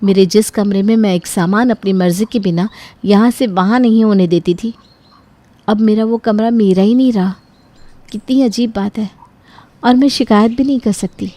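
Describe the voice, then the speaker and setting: A young married daughter from a cartoon family story, acted out by a woman. She is polite but easily hurt, with a complaining edge. The voice sits at a medium pitch in a dry recording. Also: steady tone, normal speed, dark tone, no room sound, natural voice. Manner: wounded, complaining.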